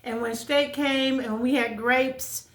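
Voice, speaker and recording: Higher-voiced American woman in her fifties, echoey room. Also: steady tone, slow, a bit bright. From California, United States. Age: 50s. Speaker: vlogger sharing life stories. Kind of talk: casual personal storytelling to camera. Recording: roomy, echoing sound.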